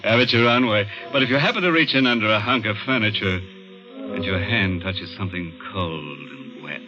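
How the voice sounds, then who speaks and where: An actor playing a sardonic horror storyteller, hosting a 1950s radio mystery with wry, mock-friendly macabre teasing. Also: male voice, low-pitched, natural voice. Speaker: host narrator. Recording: muffled old radio recording, music behind.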